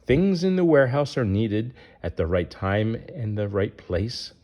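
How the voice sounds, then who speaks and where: A man's voice: on the low side, very animated, a little slow, a little mellow. A man reading a rhyming children's picture book aloud as its narrator.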